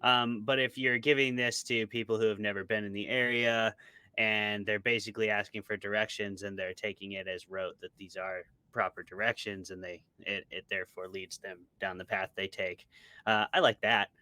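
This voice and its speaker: Low, bright, clear-voiced man chatting casually. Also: flat delivery, moderate pace, no echo. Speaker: author being interviewed. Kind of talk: casual chat about horror tropes.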